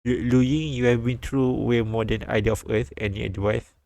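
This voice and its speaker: A man streaming a live read-aloud of a visual novel, halting and repeating lines as he goes. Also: medium pitch, steady tone, vocal fry, a little mellow, dry recording.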